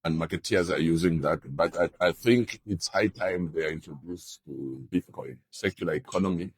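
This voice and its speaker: Deep-voiced man, dry close sound. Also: normal speed, slightly rough, no room sound. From Zambia. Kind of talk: documentary interview.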